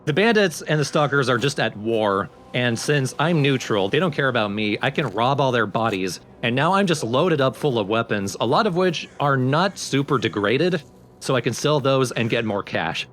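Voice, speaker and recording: Low-voiced man explaining a video game. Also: lively intonation, moderate pace, fairly bright tone, a little hiss or hum. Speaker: let's play host. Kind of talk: casual gameplay commentary. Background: video game music.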